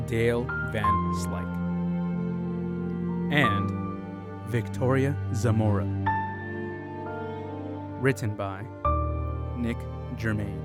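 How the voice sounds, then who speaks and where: Slow, deliberate male credits announcer. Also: fairly low pitch. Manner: measured, deliberate. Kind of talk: slow scripted credits reading. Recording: loud music under the voice.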